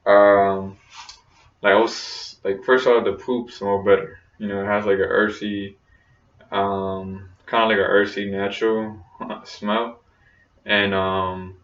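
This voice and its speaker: A man's voice: lowish voice, a bit bright. A young man talking casually in a personal vlog, rambling with lots of fillers and restarts. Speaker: vlogger. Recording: roomy, distant, dull lo-fi sound.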